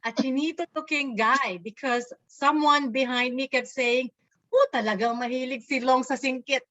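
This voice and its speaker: A woman's voice: high-pitched, fairly even intonation, moderate pace, a bit bright, no room sound, poor audio. A woman with a Filipino accent chattily reading reminiscences from a script on a video call. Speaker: reader at a table read.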